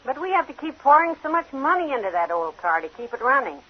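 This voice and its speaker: An actress playing a practical, long-suffering wife with a dry, teasing wit in an old-time radio comedy. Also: female voice, high voice, very animated, fast. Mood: exasperated. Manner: dryly sarcastic, long-suffering. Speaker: comic partner in a radio sitcom. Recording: dull vintage radio recording.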